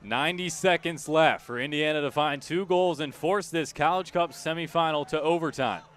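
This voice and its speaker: A man's voice: a little high, moderate pace, fairly bright tone, slight background noise. Man calling live soccer play-by-play. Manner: clipped running description, urgent and emphatic. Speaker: play-by-play announcer. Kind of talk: live radio soccer commentary.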